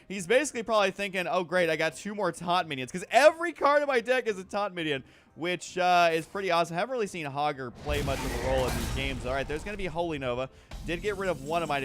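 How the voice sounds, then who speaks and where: A man with a somewhat high voice hosts a card game video. He commentates casually on his own play, rambling as he works out each move aloud. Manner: rambling, thinking through moves aloud, counting. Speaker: gaming video host.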